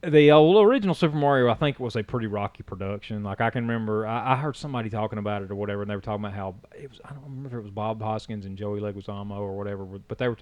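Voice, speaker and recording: A man hosting a casual podcast chat, telling stories in a rambling, animated way with many restarts. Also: on the low side, very fast, hardly any pauses, dry recording. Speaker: podcast host.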